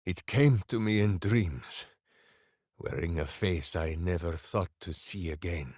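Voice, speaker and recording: An actor playing a big, simple-hearted, heroic warrior in a video game, speaking in a grandiose, earnest, theatrical way. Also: male voice, low-pitched, takes it slowly, slightly rough, no echo, clean studio sound, heavily acted. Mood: earnest, solemn. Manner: grandiose, earnest, speaks of himself in third person. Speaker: video game companion character.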